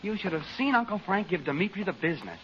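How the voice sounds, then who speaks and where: Boyish american kid nephew in an old radio play, high voice, slangy and excitable, muffled noisy recording. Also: male voice, fast talker. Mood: shaken, relieved. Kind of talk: 1940s radio drama acting.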